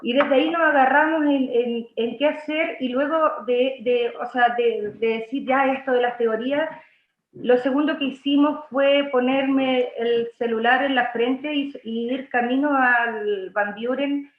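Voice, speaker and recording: A Chilean actress and playwright talking over a video call, halting, with many restarts. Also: female voice, high-pitched, steady tone. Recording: echoey room, muffled lo-fi sound.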